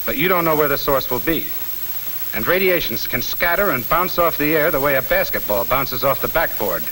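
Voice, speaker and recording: A man's voice: fairly high pitch, lively intonation, moderate pace, bright, forceful tone, rough recording. A man narrating a mid-century instructional film in a blunt, punchy, slangy style, talking straight to the listener. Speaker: military training film narrator. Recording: old film soundtrack, faint hiss.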